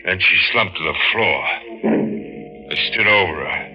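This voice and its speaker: An actor playing an older fisherman skipper, a pleading, guilt-haunted husband, in halting, dramatic 1940s radio style. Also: deep voice, expressive. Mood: haunted confession. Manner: intense, halting, dramatic delivery. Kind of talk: 1940s radio acting, first-person narration and dialogue. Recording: dull vintage radio recording with music.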